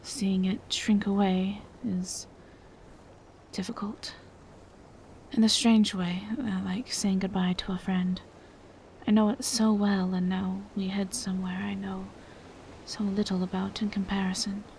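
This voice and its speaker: A woman playing a gentle, courteous female vampire in a roleplay audio drama, soft-spoken, polite and a little hesitant. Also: mid-range voice, flat delivery, a little slow, creaky, a little hiss or hum, poor audio. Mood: wistful, hushed.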